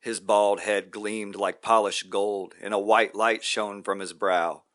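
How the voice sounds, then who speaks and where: Low-voiced man reciting a poem. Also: fairly even intonation, takes it slowly, fairly bright tone. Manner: rhythmic, theatrical reading. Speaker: poetry reader.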